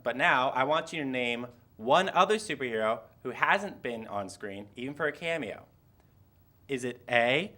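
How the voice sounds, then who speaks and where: A young man hosting a casual trivia segment, halting and stumbling over his words. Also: medium pitch, bright, forceful tone. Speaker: quiz host. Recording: echoey room with faint noise.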